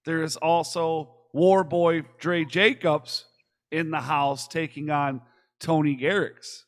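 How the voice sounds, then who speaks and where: Give me a relaxed male podcast host with a slightly high voice. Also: slow. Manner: easygoing, brief replies. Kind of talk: podcast chat.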